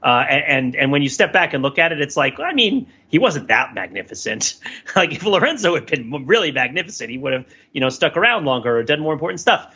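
A man with a bright, rough-edged voice thinks aloud as a podcast co-host. He talks casually but analytically, in long wordy, wandering sentences. The recording sounds a little dull and lo-fi. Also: fairly high pitch, very animated, fast. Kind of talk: casual discussion and cultural commentary.

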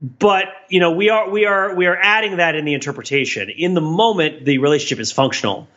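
A man's voice: a little high, a little fast, fairly bright tone. A man co-hosting a podcast in casual talk, earnest and analytical, correcting himself as he goes, on slightly dull audio. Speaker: podcast co-host. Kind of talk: casual conversational podcast discussion.

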